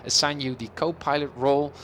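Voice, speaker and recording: A man's voice: medium pitch, fairly even intonation, a little fast, a bit bright, slight background noise. A man who is a non-native English speaker, chatting casually on a livestream as an affectionate, encouraging flight coach. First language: not English. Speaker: flight sim streamer and informal flight instructor.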